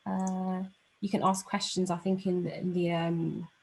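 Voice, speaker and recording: A woman's voice: on the low side, level tone, measured pace, poor audio. A woman with a London accent giving an informal presentation on a video call, chatty and slightly flustered. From London, England. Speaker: aviation analyst giving a talk.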